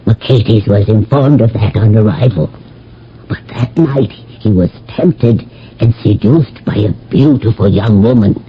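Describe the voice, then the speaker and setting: A man's voice: on the low side, unhurried, husky. A man playing a devoted, philosophical slave of antiquity in a radio drama, speaking in a formal, dramatic storytelling manner. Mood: grave, urgent. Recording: dull old radio, faint music.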